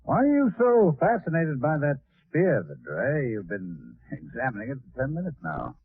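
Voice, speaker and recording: A man acting a calm, authoritative spaceship commander in an old-time radio drama, speaking in a measured, commanding way. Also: mid-range voice, husky. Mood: measured, firm. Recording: tinny, noisy vintage radio broadcast.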